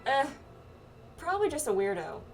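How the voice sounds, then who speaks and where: Higher-voiced woman reading game dialogue over music. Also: big pitch swings, gravelly. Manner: halting read-aloud with reactions. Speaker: gamer reading game dialogue aloud in a playthrough with friends. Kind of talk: casual playthrough, reading dialogue aloud. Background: video game music.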